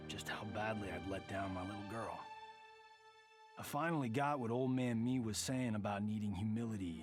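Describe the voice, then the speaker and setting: A self-satisfied American action star telling his own story in a video game. He is middle-aged, boastful and quick with a wisecrack. Loud music runs under his voice. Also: medium pitch. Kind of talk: scripted video game ending monologue.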